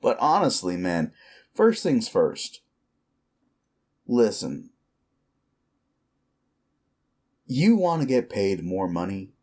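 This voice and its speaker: Man voicing a sleazy alpha werewolf boss, lowish pitch, slow swinging delivery, condescending, bullying, audio roleplay. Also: very animated, darkish tone, exaggerated voice. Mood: conspiratorial, threatening. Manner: condescending, foul-mouthed, cajoling and berating. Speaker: voice actor performing a roleplay. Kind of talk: dramatic audio roleplay monologue to the listener.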